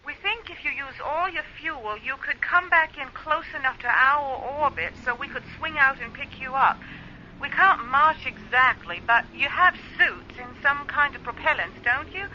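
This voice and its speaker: A woman playing a brisk, confident spaceship crew member in a radio play, assertive and informal over a radio link. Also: high voice, lively intonation, sharp tone, highs cut off, slight background noise, exaggerated voice. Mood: eager, casual. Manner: matter-of-fact, assertive, informal. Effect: radio transmission filter.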